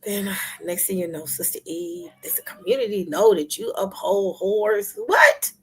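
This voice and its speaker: A woman hosting a casual livestream chat, talking to her viewers with soft whispery asides and sudden loud bursts. Her voice is husky, as if she is losing it. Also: mid-range voice, moderate pace. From Memphis, United States. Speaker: livestream host.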